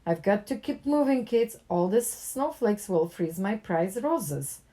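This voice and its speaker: A woman voicing a busy, fretful male florist in a storybook, hurried and emphatic. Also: mid-range voice, lively intonation, mellow, voice pushed up, close to an everyday voice.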